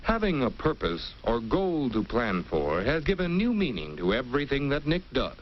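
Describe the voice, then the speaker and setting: A man's voice: mid-range voice, wildly swooping pitch, normal speed. A man narrating an old educational film in an earnest, instructive tone. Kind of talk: scripted 1950s film narration. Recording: muffled old film soundtrack with hiss.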